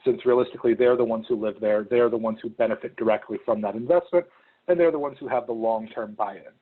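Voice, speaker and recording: A man's voice: medium pitch, fast. Young man from Ohio on a call. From Ohio, United States. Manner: conversational, with frequent ums. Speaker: returned volunteer presenter. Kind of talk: information session talk. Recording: phone-like narrow sound.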